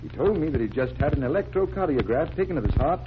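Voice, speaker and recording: Casting: a commanding, determined detective, very animated intonation, vintage radio drama, dull noisy recording. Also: male voice, on the high side, fast. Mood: adamant. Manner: insistent, authoritative. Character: brusque, determined adult male magician-detective. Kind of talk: 1940s radio drama acting.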